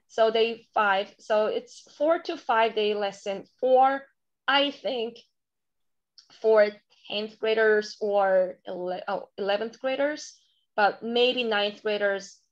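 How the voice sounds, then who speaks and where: A woman from South Korea with a Korean accent, presenting a curriculum to teachers on a webinar, halting with many fillers and restarts. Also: medium pitch. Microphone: video call. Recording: dull lo-fi webinar audio.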